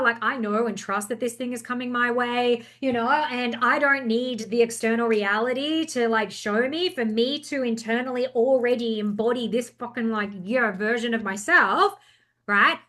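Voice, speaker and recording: Young woman on a podcast, super passionate, swears a lot, bit high-pitched. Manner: passionate, energetic, swears freely. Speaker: podcast guest. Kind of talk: casual chat.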